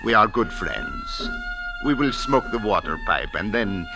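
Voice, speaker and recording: A man's voice: lowish voice, big pitch swings, measured pace. A man playing a genial, insistent desert sheikh, speaking in courtly phrases in old radio style. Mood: courtly, persuasive. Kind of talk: old-time radio acting. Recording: vintage radio drama, music behind.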